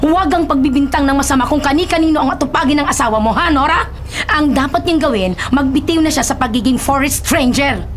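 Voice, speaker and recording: A woman playing a defensive, sharp-tongued wife in a Filipino radio drama, indignant and scolding, with a Filipino accent. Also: sits high, fast talker, slight background noise, close to an everyday voice. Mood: scolding, menacing. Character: defensive, sharp-tongued wife shielding her accused husband.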